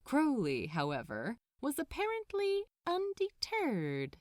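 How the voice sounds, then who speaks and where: Female story narrator, very animated intonation, measured pace, close dry sound. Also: high-pitched, no room sound. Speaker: podfic narrator. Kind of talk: expressive scripted fiction narration.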